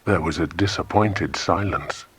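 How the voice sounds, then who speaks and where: Male British narrator for a children's adventure audiobook, deep and husky, expressive, measured delivery. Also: no echo. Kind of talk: scripted storybook narration.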